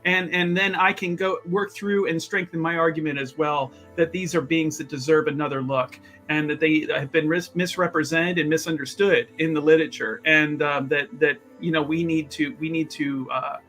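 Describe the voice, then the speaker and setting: A man's voice: a little high, fairly even intonation, moderate pace, few pauses, a bit bright, soft background music, lightly acted. A man playing an earnest, wordy scholar in a tabletop game, stammering eagerly as he argues his case. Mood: earnest, persuasive. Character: earnest rambling scholar, a man fond of spirits.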